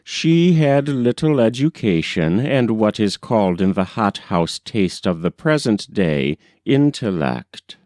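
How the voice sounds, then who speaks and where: A man narrating an old-fashioned literary novel as an audiobook reader, with measured, deliberate phrasing. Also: lowish voice, big pitch swings, a little mellow, no echo, studio quality. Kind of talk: scripted literary narration of a 19th-century novel.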